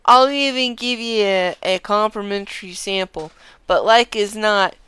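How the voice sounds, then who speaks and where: A boastful, sly old rival from a fantasy game, performed by a woman, who taunts in rustic dialect. The delivery is slow and mocking, leaning hard on words in a clear voice. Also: medium pitch, fairly bright tone, dry recording, close to an everyday voice. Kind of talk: reading game dialogue aloud in character.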